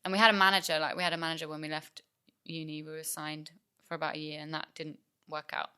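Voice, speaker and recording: Low-pitched chatty young woman, bright tone. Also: flat delivery, quick pace, clear, resonant tone. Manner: chatty, many fillers and restarts. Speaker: musician guest. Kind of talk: casual interview chat.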